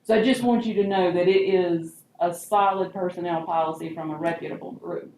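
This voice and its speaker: A woman's voice: fairly low pitch, steady tone, brisk, a little mellow. A woman on village staff, explaining things conversationally at an informal meeting. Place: meeting room. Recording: echoey meeting room, faint noise.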